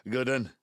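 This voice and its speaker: An actor playing a jovial Welsh father of seventy-five in an audio drama, with a South Wales Valleys accent, a husky gravelly voice and an effusive, jokey manner. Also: mid-range voice, sharp tone, no echo, clean studio sound, full character voice. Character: ageing Welsh father, jovial rogue seeking to make amends. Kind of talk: naturalistic audio drama acting.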